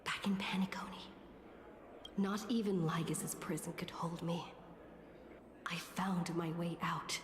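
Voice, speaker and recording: Woman as hushed heroine in echoing space. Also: lowish voice, fairly even intonation, measured pace, pauses often, natural voice. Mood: resolute. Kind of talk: anime game voice acting. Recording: distant, echoing, faintly noisy game audio.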